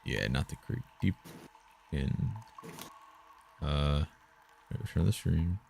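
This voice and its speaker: A man's voice: extremely low pitch, animated, slow, vocal fry, a little mellow, slight background noise. A man hosting a game stream, reading story text aloud and dropping in casual, playful asides.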